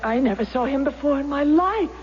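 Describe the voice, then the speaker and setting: An actress playing a shaken, hesitant woman on the witness stand in a radio drama, halting and emphatic. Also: high-pitched, expressive. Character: shaken, hesitant woman testifying as crime victim. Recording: echoey hall, dull old recording, faint hum.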